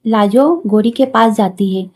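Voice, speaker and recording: A woman's voice: mid-range voice, unhurried. A woman narrating a scripted cartoon story as a storyteller.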